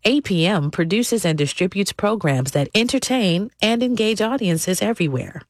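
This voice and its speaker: A woman's voice: medium pitch, lively intonation, normal speed. A woman announcer reading sponsor messages in an upbeat promotional style. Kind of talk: sponsor read.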